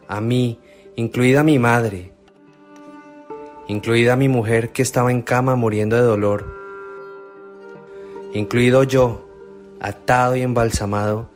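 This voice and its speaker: A man's voice: medium pitch, close to an everyday voice. An actor playing an earnest young man raised from the dead in a devotional radio drama, speaking emphatically.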